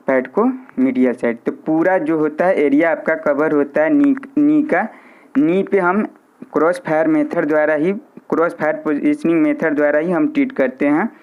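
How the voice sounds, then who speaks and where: A man giving an informal instructional lecture, repeating key points and mixing in English technical terms. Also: a little high. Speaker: physiotherapy teacher. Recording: muffled, narrow lo-fi sound.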